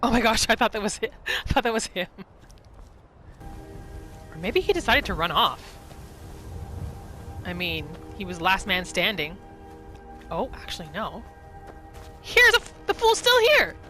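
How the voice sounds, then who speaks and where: A woman's voice: medium pitch, unhurried, pauses often. A woman doing casual, playful gameplay commentary, narrating her roleplay as she goes and talking to herself. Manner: playful, expressive, talking to self and game. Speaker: gamer narrating own roleplay playthrough. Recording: game music behind the voice. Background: video game music.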